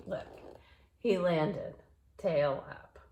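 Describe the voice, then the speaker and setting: A woman reading a picture book aloud to children in a playful, expressive way, with asides to her young listeners. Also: lowish voice, extremely slow, vocal fry, slight background noise.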